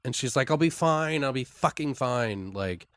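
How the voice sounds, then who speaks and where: A man hosting a podcast, casually making up a story aloud in an excitable, loosely profane way. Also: mid-range voice, moderate pace, dry recording, clean studio sound. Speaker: podcast host. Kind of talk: casual improvised story brainstorming.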